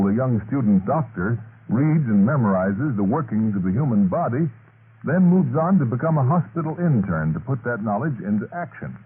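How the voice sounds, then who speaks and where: A man reading formal scripted radio announcements in a measured, earnest 1950s style. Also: fairly low pitch. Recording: tinny, hissy 1950s radio recording.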